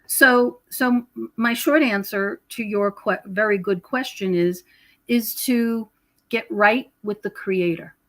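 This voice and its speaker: A woman's voice: mid-range voice, slow, a bit bright, poor audio. A woman guest on a podcast, talking in an emphatic, preachy way about her beliefs, often repeating words and checking in with the listener. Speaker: podcast guest, author and researcher of prophecy. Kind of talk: conversational interview, spiritual explanation.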